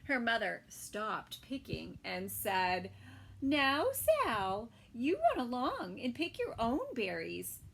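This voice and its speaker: Woman, preschool story time, slow read-aloud, soft asides, lively pitch. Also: gravelly. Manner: gentle and slow, dropping to soft asides and whispers. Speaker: preschool teacher reading aloud.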